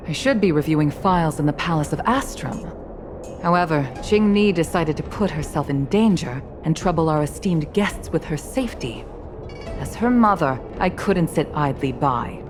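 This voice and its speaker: An actress playing a stern, formal and protective mother who holds high command in a video game. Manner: formal, polite, measured. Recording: music behind the voice.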